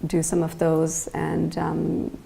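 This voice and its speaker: A woman's voice: low-pitched, monotone, slow, creaky, dark tone, breathy edge, rough recording. A young woman, a guest artist, chatting casually in an interview, hesitant with many fillers and restarts.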